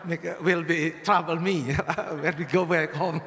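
A man with an Indonesian accent greeting a church congregation as a visiting guest, halting, with many fillers and restarts. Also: a little high, steady tone, a bit bright. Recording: reverberant hall with crowd.

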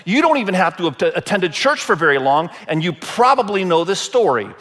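Higher-voiced man preaching a sermon. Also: very animated, a bit bright. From Indonesia. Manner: deliberate, repeats phrases for emphasis. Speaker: pastor preaching to a church congregation.

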